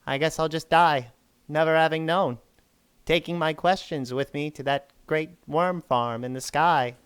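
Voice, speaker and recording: A man's voice: fairly high pitch, steady tone, a little slow, fairly bright tone, clean tone. A man acting in an audio drama as a skeptical, sarcastic male mole worker who talks in a teasing, dismissive way. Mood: mocking.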